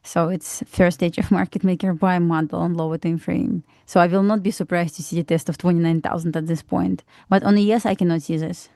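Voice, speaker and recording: A woman with a Russian accent talks through price action in a measured, matter-of-fact way. Her voice is low-pitched and mellow, and the sound is dry and close with no room echo. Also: fairly even intonation, vocal fry. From Belarus. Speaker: trader co-host. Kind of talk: live market commentary.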